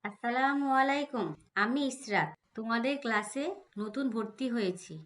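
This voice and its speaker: Polite devout schoolgirl, played by a woman, higher voice. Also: moderate pace, a bit bright, faint music behind, lightly acted. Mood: polite, earnest. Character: polite, devout schoolgirl daughter, modest and earnest. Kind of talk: Islamic cartoon voice acting.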